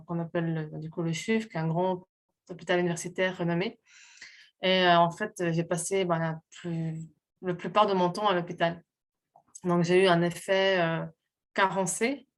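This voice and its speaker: A woman from Portugal, a guest, sharing a sincere personal testimony while pausing and searching for her words. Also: on the low side.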